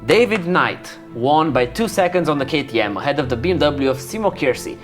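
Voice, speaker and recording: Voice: medium pitch, animated, fairly quick. A narrator with a wry, conversational manner, reading scripted narration with casual asides. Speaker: narrator and host. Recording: music under the voice. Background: background music.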